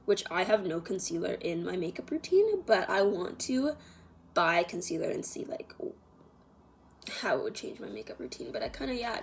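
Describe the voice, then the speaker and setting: A woman with a mid-range voice talks like a beauty vlogger walking viewers through a routine. Her delivery is casual and chatty, wandering and a little self-deprecating. Soft music plays faintly behind her. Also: mid-range voice. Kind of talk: casual chatty makeup tutorial.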